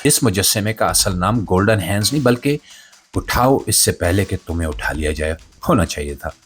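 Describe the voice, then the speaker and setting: A man's voice: low voice, expressive, normal speed, a little hiss or hum. A man hosting a satirical commentary show, delivering a scripted monologue in a theatrical, mock-solemn way.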